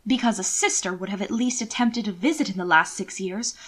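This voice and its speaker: A woman narrating an audiobook, reading scripted fiction in an expressive storytelling style. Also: mid-range voice, fairly quick.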